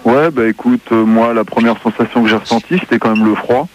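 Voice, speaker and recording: A man calling into a mock radio debate, talking casually and haltingly with many fillers. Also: mid-range voice, dull audio, slight background noise. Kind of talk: comic radio phone-in parody.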